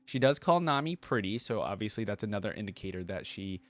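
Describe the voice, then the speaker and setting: A man's voice: on the low side, animated, fast, fairly bright tone, no room sound. A man giving casual, chatty and curious live reaction commentary as a video host.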